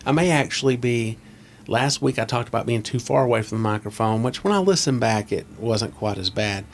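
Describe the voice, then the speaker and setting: A man's voice: medium pitch, lively intonation, a little fast. Podcast host, male, scratchy voice, close-miked, opinionated and rambling. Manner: opinionated, rambling, asks leading questions. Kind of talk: casual chat. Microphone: close desk mic on a boom arm.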